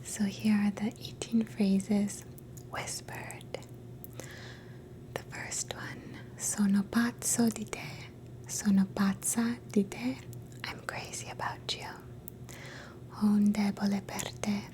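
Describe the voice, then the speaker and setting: Softly whispering woman. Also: medium pitch, level tone. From Canada. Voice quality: soft whisper, mostly unvoiced. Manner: slow, gentle, repeats each phrase. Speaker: ASMR host teaching phrases. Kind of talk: whispered ASMR language lesson.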